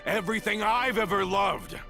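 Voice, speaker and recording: A man playing a bitter, resentful traitor in a dramatic video game, theatrical and accusatory, swinging from brooding to shouting. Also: high voice, moderate pace, gravelly, over music. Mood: furious. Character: bitter resentful male traitor, grieving and unhinged.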